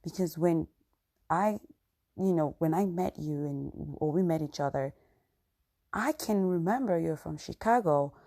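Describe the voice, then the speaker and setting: Low, mellow-voiced Cameroonian woman. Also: unhurried. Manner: earnest, conversational, frequent "you know" asides. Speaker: vlogger. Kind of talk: personal storytelling to camera.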